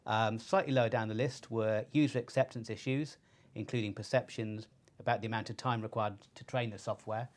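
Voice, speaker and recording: A man's voice: lowish voice, steady tone, fairly quick. A man presenting research findings to a panel in an informal, explanatory manner.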